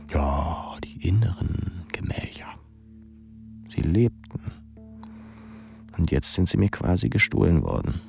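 A man playing a brooding, ironic young pupil in an introspective radio drama monologue, musing and halting. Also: deep voice, gravelly, full character voice. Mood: wistful, musing. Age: young adult.

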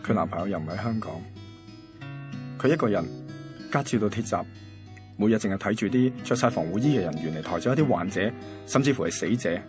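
A man's voice: on the low side, lively intonation, brisk, pauses often, music in the background, lightly acted. An actor playing an easygoing, reflective young man from a radio drama, with a Hong Kong accent. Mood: reflective, narrating. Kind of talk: radio drama dialogue and narration.

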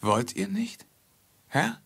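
An actor playing a loyal, worried servant reduced to a beggar in a German radio play, deferential and lamenting, with a raspy voice. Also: male voice, high-pitched, sing-song, slow, fairly bright tone, exaggerated voice.

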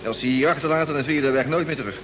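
A man's voice: racing, lightly acted. An actor playing a bored, doubtful expedition crewman in an old Dutch radio play, grumbling colloquially and hesitantly. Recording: noisy old radio recording, vehicle rumble.

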